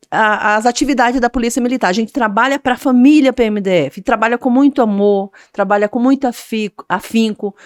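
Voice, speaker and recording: Woman, middle-aged, interview guest, determined, smooth and resonant. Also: fairly high pitch, no echo, clean studio sound. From Maranhão, Brazil. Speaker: guest, association president. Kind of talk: interview answers.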